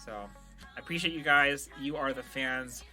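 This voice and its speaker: A male podcast host with a strikingly bright, forward voice. He talks casually and earnestly, full of enthusiasm for what he is presenting. Music plays softly behind him. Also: medium pitch, steady tone, normal speed. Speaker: podcast host and audio drama creator. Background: background music.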